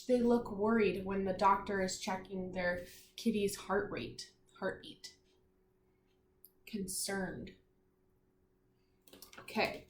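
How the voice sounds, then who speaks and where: A woman teacher giving a read-aloud vocabulary lesson to young children, enunciating deliberately, repeating phrases and putting questions to her listeners. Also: a little high, slight background noise. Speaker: primary school teacher. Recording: echoey room.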